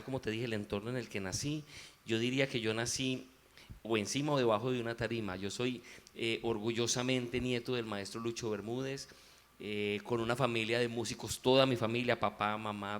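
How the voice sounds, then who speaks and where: A man with a Colombian paisa accent, a musician guest giving a reflective, unhurried faith testimony in an interview. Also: mid-range voice, fairly bright tone.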